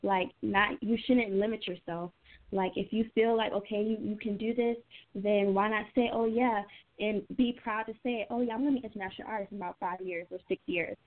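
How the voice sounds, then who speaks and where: A woman's voice: medium pitch, fairly even intonation, fairly quick. A young American woman, a singer being interviewed, chatting informally and earnestly and often repeating her phrases. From United States, Atlanta area. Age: young adult. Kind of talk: casual interview chat. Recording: tinny phone-line sound.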